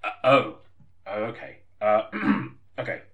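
An actor playing a flustered, bumbling audiobook narrator in improvised comedy, hesitant, stammering and apologetic. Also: male voice, on the low side, steady tone, slow pace, phone-quality sound. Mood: muddled, hesitant.

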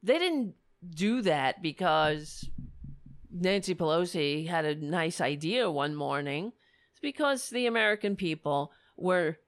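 A woman hosting a political talk show, her voice fraying and hoarse. She speaks slowly in a low register, building fiery rallying points between false starts. Faint music sits in the background. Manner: fiery, rallying speech with many restarts. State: losing her voice. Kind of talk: impassioned political commentary.

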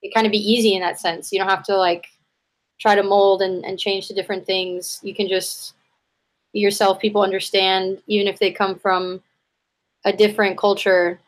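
Woman host, flat delivery, affirming and casual with lots of fillers. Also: mid-range voice, normal speed. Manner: warmly affirming, with many "like" fillers. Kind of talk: casual podcast chat.

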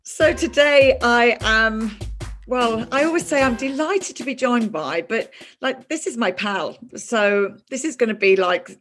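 A woman's voice: on the high side, unhurried, faint music behind. Chatty woman hosting a podcast interview. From moved to Ireland in 2000. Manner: chatty, warm with a friend, many fillers and restarts. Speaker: podcast host. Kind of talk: casual interview chat.

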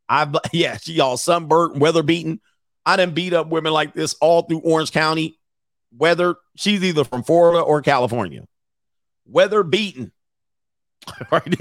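A man's voice: on the high side, fairly bright tone, no room sound, studio quality. A man in his forties hosting mocking reaction commentary, brash and slangy, laughing often. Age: 40s. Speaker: commentary host.

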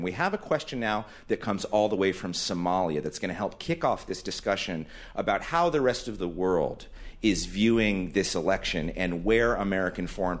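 Low-voiced man hosting a live panel. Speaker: host moderating a panel discussion. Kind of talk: live panel moderation, introducing and questioning guests. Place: live event space before an audience.

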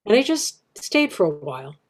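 A woman's voice: medium pitch, big pitch swings, normal speed. A woman reading the monologue of a kayaker, telling it with light self-mockery. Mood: wry. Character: kayaker thrilled by the storm. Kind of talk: readers theatre monologue.

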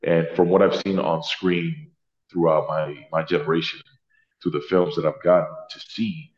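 Man, deep baritone, earnest guest, roomy slightly muffled recording. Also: flat delivery, normal speed. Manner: earnest, thoughtful, self-correcting. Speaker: actor, interview guest. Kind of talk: casual interview chat. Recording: roomy, slightly dull sound.

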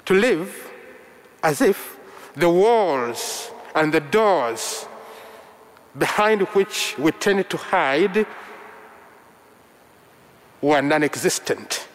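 A man from Uganda whose first language is Luganda, preaching a sermon in slow, measured short phrases. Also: fairly high pitch, expressive. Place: church. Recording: long reverb of a large hall.

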